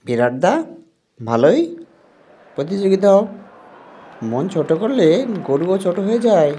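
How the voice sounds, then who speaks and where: A man's voice: high voice, very animated, moderate pace, dark tone, slight background noise, pitched above the performer's own voice. A man voicing a poor young herd boy in a cartoon, earnest and hopeful. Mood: cheerful.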